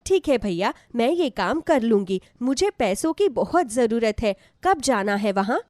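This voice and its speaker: Woman voicing a humble, earnest poor mother, high-pitched. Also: sing-song, unhurried, darkish tone, no room sound, studio quality. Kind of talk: Hindi devotional story acting.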